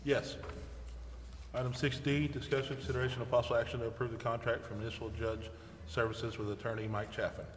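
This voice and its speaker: A man's voice: medium pitch, level tone, fast. An American man speaking as the mayor, chairing a council meeting and reading out agenda items in formal procedural language. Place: echoey council chamber. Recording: echoey hall, faint noise.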